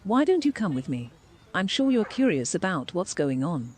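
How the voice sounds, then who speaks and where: A woman's voice: on the low side, sing-song, normal speed, a little mellow, breathy edge. A woman playing a composed, professional doctor on a case in a horror drama. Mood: calm, probing. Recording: light rain noise behind the voice.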